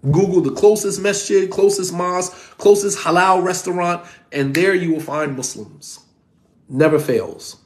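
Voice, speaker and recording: A man in his forties teaching an online class from home, talking in a lively, conversational way and repeating points for emphasis. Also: sits high, a little slow. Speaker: Islamic teacher. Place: home room, indoors. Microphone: phone camera at desk.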